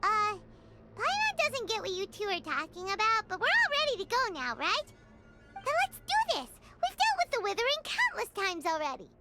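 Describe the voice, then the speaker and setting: A woman's voice: very high-pitched, wildly swooping pitch, normal speed, loud music behind the voice, heavily acted. A woman voicing a tiny, childlike fairy companion in an anime game, perky and eager, exclaiming and talking about herself in the third person. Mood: determined.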